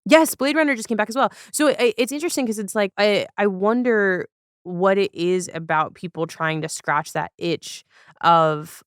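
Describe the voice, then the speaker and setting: Chatty lady on a podcast, clean smooth voice, a bit low, rambles along casually, super dry audio. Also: no room sound. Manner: chatty, rambling, quick to laugh. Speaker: podcast host.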